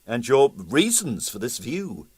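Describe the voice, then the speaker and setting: A man voicing a polite, persistent police detective with measured, formal questioning. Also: mid-range voice, wildly swooping pitch, a little mellow, breathy edge, no echo, heavily acted. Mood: dry, grave.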